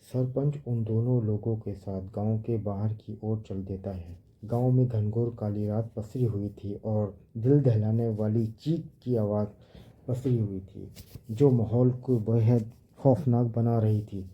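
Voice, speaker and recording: Male narrator for horror tales. Unhurried, rough-edged delivery, slightly dull sound. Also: on the low side, steady tone. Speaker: story narrator. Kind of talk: scripted horror story narration in Hindi.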